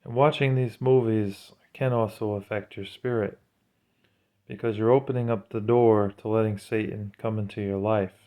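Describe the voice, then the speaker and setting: A man's voice: lowish voice, steady tone, moderate pace, dark tone. A man delivering an informal, sermon-like monologue as a religious vlogger, speaking in an earnest, admonishing way. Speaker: vlogger giving religious commentary.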